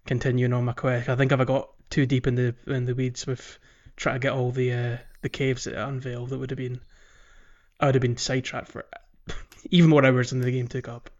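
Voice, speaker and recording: Casting: male podcast co-host, medium-pitched, conversational and halting, on a muffled lo-fi track. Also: little pitch movement, a little mellow. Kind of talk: casual chat.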